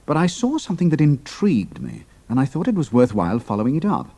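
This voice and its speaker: An actor playing a shrewd, cool-headed British pilot in an audio drama, speaking in a clipped, dry, understated manner. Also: male voice, medium pitch, wildly swooping pitch, quick pace, vocal fry, warm tone, no room sound, full character voice. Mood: guarded, dutiful.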